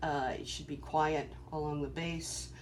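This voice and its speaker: A person giving an informal, halting technical demonstration in an explanatory way. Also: mid-range voice, little pitch movement. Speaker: presenter demonstrating equipment. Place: small cluttered office workroom, indoors. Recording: faint background room noise.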